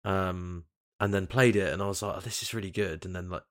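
Male games podcaster, British, very low voice, mildly croaky, groggy, informal chat. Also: fairly even intonation, a little fast, a bit bright. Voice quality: slightly croaky. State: tired and groggy after a night out. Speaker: podcast host. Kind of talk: casual chat about video games.

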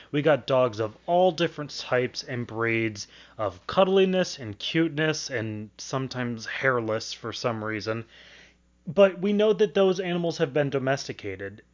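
A young adult man with a mid-range voice hosts a radio interview show. He presents in a conversational way, as a graduate student talking to listeners. The sound is a little dull, with the top end trimmed. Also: medium pitch.

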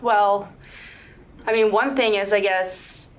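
A woman in her thirties, from Sweden, chatting as a podcast guest. Her voice sits at an ordinary mid pitch, and her talk is frank and upbeat. She laughs easily as she speaks. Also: steady tone, slow pace. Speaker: podcast guest, real estate broker. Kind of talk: casual interview chat.

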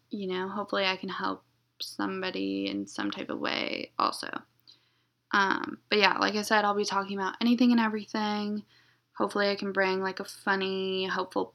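Young woman, podcast host, monotone, rambling, blunt, gravelly fry. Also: medium pitch. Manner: blunt, unfiltered. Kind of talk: casual solo podcast intro.

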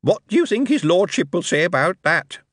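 A man voicing a cheeky, sarcastic male goblin in a shrill, cackling voice. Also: big pitch swings, normal speed, fairly bright tone, no room sound, studio quality, exaggerated voice.

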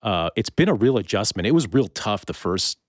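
A man hosting a casual interview, asking questions in a conversational, somewhat halting way. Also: fairly low pitch, animated, no room sound, studio quality. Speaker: interview host.

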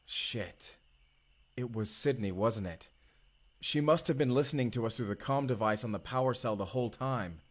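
A man's voice: medium pitch, normal speed, lightly acted. A man playing an urgent, determined time traveler in an audio roleplay drama, earnest and intense. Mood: urgent, planning. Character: urgent, determined male time traveler, protective of his partner.

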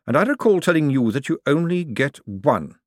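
A man voicing a cool, calculating older ruler who speaks dry, precise and deliberate in an audiobook. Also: on the high side, big pitch swings, dry recording, voice pushed up. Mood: dry, knowing.

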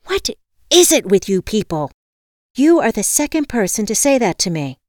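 Woman acting a stressed, snappy woman, voice jumping high. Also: sing-song, moderate pace, clean tone, no echo, clean studio sound, natural voice. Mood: snapping, indignant. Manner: exasperated, snappy, loud outbursts. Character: stressed, irritable woman locked out of her house. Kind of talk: acted audiobook dialogue.

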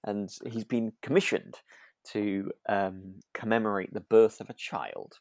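A man's voice: fairly low pitch, steady tone, unhurried, darkish tone, dry recording. A man speaks as a podcast co-host in a very dry recording. He discusses a drama casually, hesitant and agreeable, circling back over his words as he thinks aloud. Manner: hesitant, repeats and trails off, agreeable.

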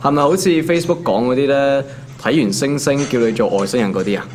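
A man's voice: medium pitch, fast, a little mellow, slight background noise, close to an everyday voice. An actor with a Hong Kong accent playing an ordinary office worker in his twenties, wry and colloquial, in a naturalistic audio drama. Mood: teasing, casual. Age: 20s. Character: ordinary young male office worker, teasing and put-upon.

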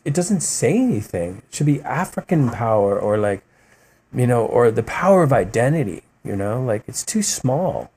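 A man, a guest on a podcast, talking passionately in a rambling, casual way, often adding "man" and "you know" and acting out quotes as he tells stories. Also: on the low side. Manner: animated storytelling with voiced quotes. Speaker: podcast guest, musician and writer. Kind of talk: casual interview talk.

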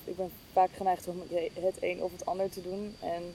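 Hesitant woman, mellow voice. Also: little pitch movement, normal speed, poor audio. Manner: hesitant, thinking aloud. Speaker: interview guest, podcast hostess. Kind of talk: casual, reflective conversation. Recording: clear low rumbling background noise.

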